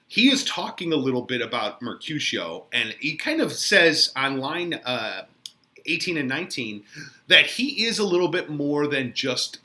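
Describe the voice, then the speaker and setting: A man's voice: mid-range voice, sharp tone. A man giving informal, conversational lesson commentary as a literature teacher, explaining with emphasis.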